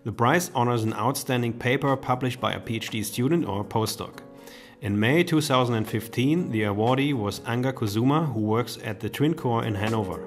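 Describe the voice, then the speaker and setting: A man narrates a short institutional introduction in a measured, formal voice-over. His pitch is ordinary and steady. Faint music plays underneath. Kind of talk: scripted narration.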